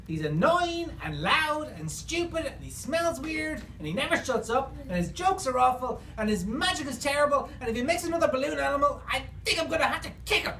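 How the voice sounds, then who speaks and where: A woman playing a young boy in a comic story, whiny and easily flustered, blurting and halting as he goes. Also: high voice, big pitch swings, fairly bright tone, echoey room, far from the mic, exaggerated voice. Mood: whiny, fed up.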